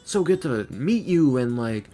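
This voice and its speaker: A man's voice: mid-range voice, sing-song, measured pace, mellow, faint music behind. A man chatting informally as a podcast guest, telling anecdotes and acting out the quotes in them. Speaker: podcast guest, voice actor and ASMR creator. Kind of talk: casual chat, storytelling.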